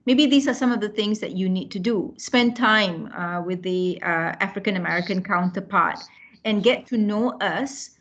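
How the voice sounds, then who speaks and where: A woman's voice: medium pitch, normal speed, fairly bright tone. A woman hosting a group discussion in an encouraging, affirming manner. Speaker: book club host and moderator. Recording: dull lo-fi online call audio.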